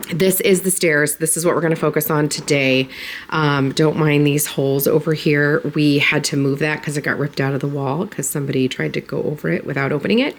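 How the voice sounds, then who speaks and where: Low-voiced woman, slightly hoarse, chatty. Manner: rambling and friendly, with many fillers. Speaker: home vlogger and channel host. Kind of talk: casual chatty home vlog. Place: living room of a family home.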